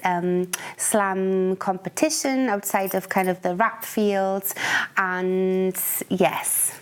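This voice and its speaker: A Welsh woman talks as a guest poet and rapper in a relaxed studio chat. She is effusive and full of praise, with plenty of ums and trailing phrases. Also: medium pitch, clear, resonant tone. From Wales. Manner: warm, effusive, many fillers. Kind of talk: casual chat. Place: radio studio.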